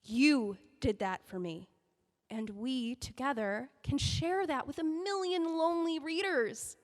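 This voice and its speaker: An actress playing a young intern editor who pleads earnestly and emotionally, rushing between thoughts. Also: female voice, sits high, big-room sound. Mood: heartfelt. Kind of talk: audio drama acting.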